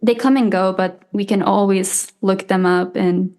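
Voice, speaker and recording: A woman's voice: mid-range voice, fairly even intonation, measured pace, darkish tone. Young woman, podcast guest, first language Japanese, casual filler-heavy chat. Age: 20s. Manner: chatty, lots of "like" and "um", laughs lightly.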